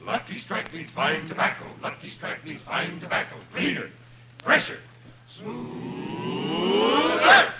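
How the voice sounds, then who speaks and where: Male commercial announcer, very high and lively, punchy slogans, echoey vintage radio, loud crowd behind. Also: slow, heavy background noise. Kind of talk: 1950s radio advertising pitch.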